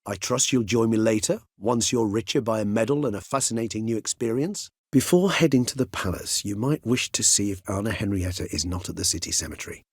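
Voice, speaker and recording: A man's voice: on the low side, lively intonation, breathy edge, no echo, studio quality. An actor voicing a cultured older male vampire in a video game, speaking formally and precisely. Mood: measured, explaining. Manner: erudite, formal, measured, wordy phrasing. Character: cultured, mild-mannered older male vampire, learned and courteous. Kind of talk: theatrical video game dialogue.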